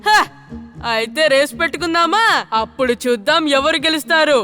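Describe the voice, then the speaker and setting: A rich little braggart in a children's cartoon. The voice is high and piercingly bright, cocky in delivery, with faint music underneath. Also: female voice, fairly quick. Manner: cocky, challenging. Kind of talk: Telugu cartoon dub acting.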